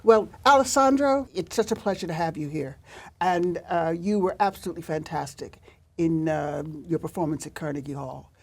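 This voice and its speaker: An older woman hosting a TV interview, gracious and effusive, with frequent fillers and pauses. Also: fairly low pitch. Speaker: talk show host. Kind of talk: studio interview.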